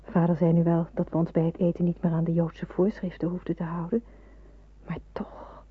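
Radio play role: reflective young fugitive, very husky and breathy, hushed delivery, narrow phone-like sound. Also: female voice, fairly low pitch, completely flat delivery, moderate pace. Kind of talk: radio drama acting with first-person narration. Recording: muffled, phone-like old radio recording.

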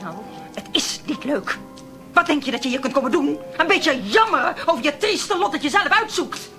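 Lonely embittered woman, high animated voice, intense, brittle, radio play, music underneath. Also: moderate pace. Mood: bitterly mocking. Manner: brittle and intense, mimics others mockingly. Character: bitter, lonely woman resentful of caring for her brother.

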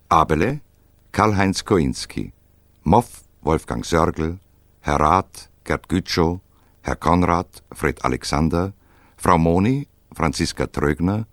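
Very deep-voiced male radio announcer. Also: no echo, studio quality. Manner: measured, matter-of-fact. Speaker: credits announcer. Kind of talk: formal scripted radio credits announcement.

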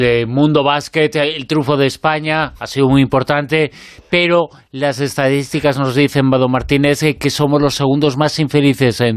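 A man's voice: fairly high pitch, no room sound, studio quality. Joking Spanish radio host, man. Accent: Spain Spanish. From Spain. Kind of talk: casual chat.

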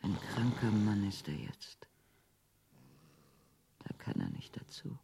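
An actress in a radio play playing a weary housebound wife who is wistful and coy, with a breathy voice that often drops to a whisper. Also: female voice, extremely low pitch, huge pitch swings, takes it slowly, heavily acted. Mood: bitter, wistful. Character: housebound, weary wife daydreaming of courtship and adventure. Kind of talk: radio play acting, intimate monologue and dialogue.

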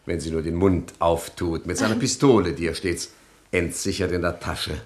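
An actor playing a cool, wry gangster in a comic radio drama, dry and sardonic as he smooth-talks and bluffs. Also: deep voice, moderate pace, darkish tone, lightly acted. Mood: smooth bluffing.

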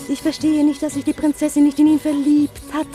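Dreamy, very high-voiced woman onlooker by an actress. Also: completely flat delivery, brisk, warm tone, soft background music, natural voice. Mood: infatuated. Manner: rapt, romantic. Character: dreamy, infatuated woman watching an execution. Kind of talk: 1950s German radio drama acting.

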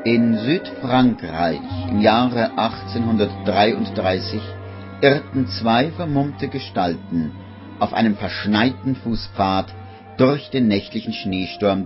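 A man narrating a scripted radio play as a literary storyteller, measured and deliberate. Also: lowish voice. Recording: dull vintage radio recording, faint music.

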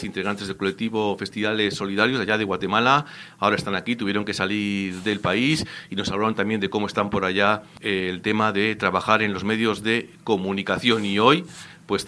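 A man's voice: lowish voice, pushed and bright. A man hosting a radio interview, speaking with frequent hesitations and fillers. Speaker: radio show host.

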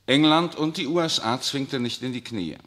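An actor playing an urbane, worldly papal nuncio in a 1960s radio drama, speaking with a suave, ironic, lecturing manner. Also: male voice, mid-range voice, normal speed, fairly bright tone, natural voice.

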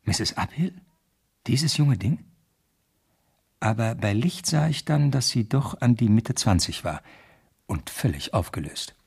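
Ernster junger Polizist, belegte Stimme. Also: male voice, medium pitch, expressive, unhurried, creaky, pauses often, a little mellow, breathy edge, full character voice. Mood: reflective narration. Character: young male London police constable, earnest newcomer. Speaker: actor, narrating protagonist. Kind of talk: 1970s radio play narration.

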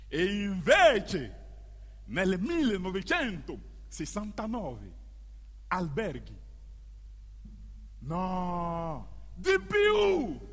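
A man performing as an ironic storyteller, delivering a theatrical monologue with emphatic, declamatory phrasing and dramatic pauses. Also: sits high, a bit bright, heavily acted. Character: male storyteller, ironic, emphatic, recounting local history. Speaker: stage narrator. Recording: long reverberant hall.

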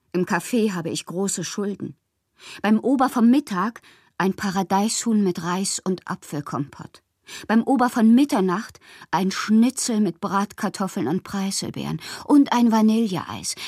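A woman playing a whimsical bohemian poet who confides her letters in an intimate, breathy, theatrical way for a radio drama. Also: medium pitch, a little mellow, dry recording, studio quality. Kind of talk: literary radio drama, letters read as monologue.

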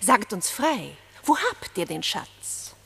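A woman's voice: mid-range voice, sing-song, slow pace, creaky, fairly bright tone, breathy edge, long reverb tail, full character voice. An actress playing a gracious, persuasive animal queen who coaxes in theatrical verse in a German radio play. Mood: eager. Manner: theatrical, declamatory verse delivery.

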